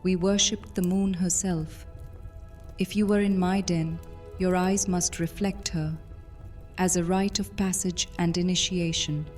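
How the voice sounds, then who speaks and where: A woman acts out an ancient vampire queen of thieves in an audio drama. Her voice is low and soft-edged, her phrasing formal and brooding. Faint music plays beneath her. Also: steady tone, a little slow, lightly acted. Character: ancient regal vampire mistress and former master thief, brooding. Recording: music under the voice.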